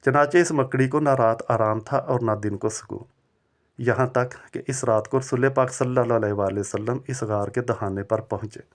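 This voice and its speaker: A man delivering scripted religious narration as a preacher and storyteller, with formal, measured, reverent diction. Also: medium pitch.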